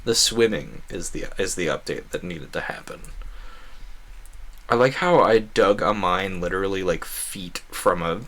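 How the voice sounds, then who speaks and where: A man's voice: low-pitched, measured pace, a bit bright. A man doing casual video game stream commentary, rambling playfully and thinking aloud with a self-deprecating streak.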